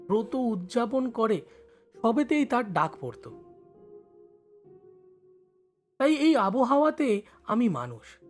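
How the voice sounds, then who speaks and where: A man playing a village schoolboy who studies in the city, telling his story in expressive first-person narration. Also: sits high. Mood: reminiscing. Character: village schoolboy studying in Kolkata, recalling his life.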